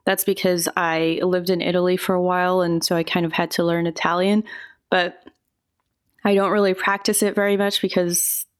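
A woman giving relaxed, thoughtful answers as an interview guest, often hedging her points. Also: on the low side, moderate pace, smooth.

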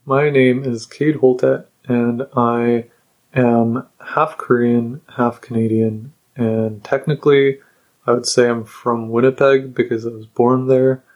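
Young man, mid-range voice, relaxed interviewee, casual telling. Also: mid-range voice, slow, dull audio. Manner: relaxed, with fillers. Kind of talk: casual personal account.

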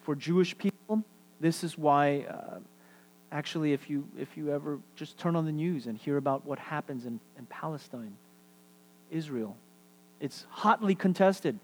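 Earnest New preacher, higher-pitched man. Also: normal speed, low-quality recording. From New York City. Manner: earnest, repetitive, drops to near-whisper for emphasis. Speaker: pastor. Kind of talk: sermon.

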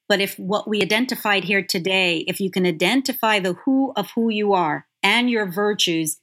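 A woman's voice: mid-range voice, fairly bright tone. A woman whose first language is Yiddish, a leadership coach answering interview questions in an earnest, emphatic way.

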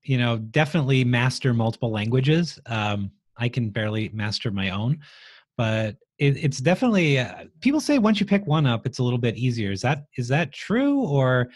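A man's voice: medium pitch, very animated, normal speed, no echo. Easygoing male podcast host, dry close sound, chatty and laughs easily. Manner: casual, friendly, laughs easily. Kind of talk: podcast interview.

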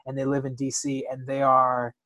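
A man's voice: mid-range voice, monotone, moderate pace, bright, forceful tone. American man telling his personal story candidly. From United States. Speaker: interview guest.

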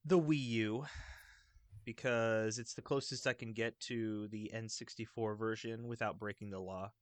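A man chatting casually about video games in a rambling, halting, enthusiastic way. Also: lowish voice, fairly even intonation, no echo. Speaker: video game podcast panelist.

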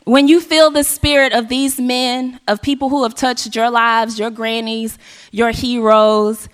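A hoarse woman from Arkansas, hosting a live event on a stage mic and rallying the audience with call and response. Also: fairly high pitch, measured pace. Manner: energetic, rallying the crowd. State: hoarse, losing her voice. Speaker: event emcee. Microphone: handheld stage mic. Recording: live hall with faint audience.

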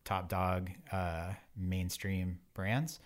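A man's voice: sits low, steady tone, drawn-out words. A man in his forties talking casually as an interview guest, in an informal, rambling way. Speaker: podcast guest, bike wheel company owner.

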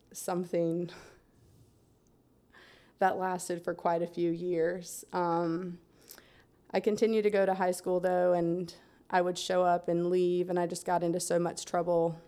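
A young adult woman from Central Florida telling her life story in a steady, soft-spoken way, pausing often with "um". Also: on the low side. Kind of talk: personal testimony in a conversation.

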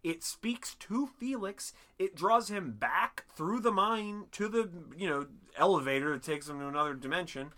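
Man, casual comedy chat, animated, profane, bright tone, slightly high pitch. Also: moderate pace, few pauses. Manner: animated, swears a lot, acts out bits. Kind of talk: casual comedic chat recapping a cartoon movie.

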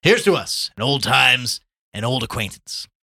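A man's voice: mid-range voice, sing-song, a little fast, pushed and bright, voice pushed up. A man voicing a jovial, boastful journalist in a storybook, hearty and exclamatory. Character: loud, swaggering, jovial male Irish journalist back from London.

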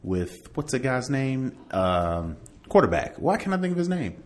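A middle-aged American man in casual podcast conversation, co-hosting with a halting, laid-back delivery. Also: mid-range voice, big pitch swings. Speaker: podcast co-host. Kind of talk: casual chat.

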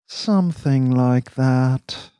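A man voicing a cool, sardonic male kingpin in an audiobook, speaking in a deliberate, formal, dryly ironic way. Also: medium pitch, big pitch swings, dark tone, slightly rough, no echo, pitched above the performer's own voice, full character voice. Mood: probing, intense. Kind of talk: audiobook character dialogue.

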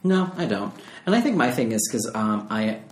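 A woman in her late twenties with an unusually deep voice co-hosts a podcast. She is wry and sarcastic, often mocking the people she quotes. Her voice echoes in the room. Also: big pitch swings, normal speed, darkish tone. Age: late 20s. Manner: wry, sarcastic, quoting others in put-on voices. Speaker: podcast co-host. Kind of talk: casual chat.

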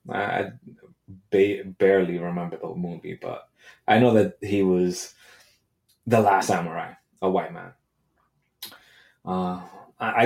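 A man with a fairly low voice rants in a loose, unscripted way. He swears constantly and mixes sarcasm with mock outrage, and his talk is full of fillers and restarts. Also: gravelly, a little mellow. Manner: crude, sarcastic, profane. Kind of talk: casual solo rant.

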